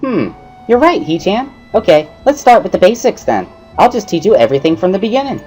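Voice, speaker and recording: A woman's voice: mid-range voice, very animated, a little fast, a little mellow, soft background music, voice pushed up, natural voice. A woman voicing a bubbly, pushy schoolgirl tutor with perky, giggly, emphatic speech in a visual novel reading. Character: bubbly, pushy schoolgirl, earnest amateur sign language tutor.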